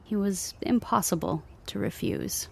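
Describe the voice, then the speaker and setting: A woman playing an earnest, measured community chaplain sending a radio message from a crumbling world in an audio drama. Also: medium pitch, very animated, creaky, darkish tone, slight background noise. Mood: earnest, hopeful.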